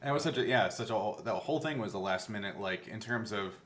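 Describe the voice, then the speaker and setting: Bright, forceful man in casual chat. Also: on the low side, expressive, fast. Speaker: podcast co-host and writer.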